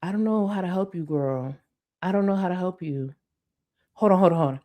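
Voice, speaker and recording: A woman's voice: on the low side, brisk, warm tone. A woman hosting a live commentary stream, reading chat and mocking her target with heavy sarcasm and swearing.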